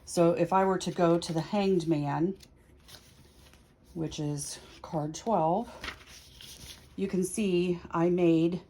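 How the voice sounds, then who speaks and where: A woman with a slightly low-pitched voice hosts a casual tarot vlog. She speaks very slowly, explaining each step in an informal, chatty way and thinking aloud as she goes. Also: fairly even intonation, dark tone, slight background noise. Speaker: tarot reader hosting a practice series.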